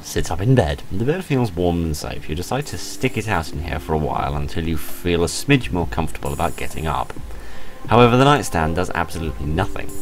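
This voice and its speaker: Deep-voiced man reading playfully over music. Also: big pitch swings, a little fast. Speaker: host of a game playthrough channel. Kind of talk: reading a visual novel aloud with casual commentary. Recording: music behind the voice. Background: game music.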